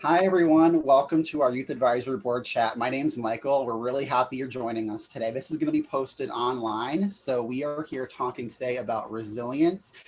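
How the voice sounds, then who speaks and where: A man's voice: mid-range voice, a little fast, few pauses, a little mellow, slight background noise. A young man hosting a panel chat, upbeat and encouraging as he introduces speakers and asks questions. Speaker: host.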